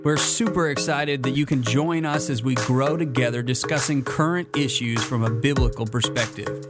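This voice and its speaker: A man's voice: medium pitch, moderate pace, over loud music. A man, a pastor, hosting a casual faith discussion podcast in an upbeat, chatty way, laughing easily as he talks.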